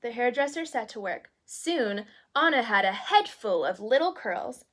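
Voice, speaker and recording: A woman's voice: fairly high pitch, expressive, unhurried, a bit bright. A woman narrating a children's storybook expressively, with little asides to young listeners. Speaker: storyteller reading a children's book aloud in character as a princess.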